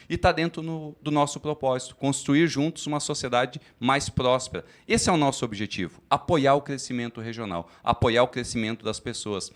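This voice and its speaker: A man speaks in a steady, formal way, explaining point by point. His pitch sits in an ordinary middle range, and he sounds like an executive answering questions in a studio interview. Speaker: cooperative credit executive. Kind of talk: live fair studio interview.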